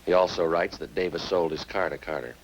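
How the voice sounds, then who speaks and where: A man's voice: sits low, fairly even intonation, a little fast, muffled recording. An actor playing a methodical male police sergeant in a 1950s radio crime drama, clipped, understated and matter-of-fact. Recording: noisy old-time radio recording.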